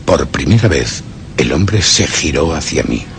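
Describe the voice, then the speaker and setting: An actor playing a tormented, guilt-ridden gentleman narrating his descent into madness in a horror radio drama, with a brooding literary delivery and a Castilian accent. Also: rumbling low, big pitch swings, measured pace, gravelly, slight background noise, heavily acted. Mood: uneasy, reflective. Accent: Castilian Spanish. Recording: music bed behind.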